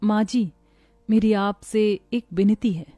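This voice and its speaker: A woman's voice: medium pitch, slow pace, dark tone, no room sound, faint music behind. A woman voicing two poor young sisters in a cartoon, talking in a pleading, emotional way. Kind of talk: cartoon voice acting.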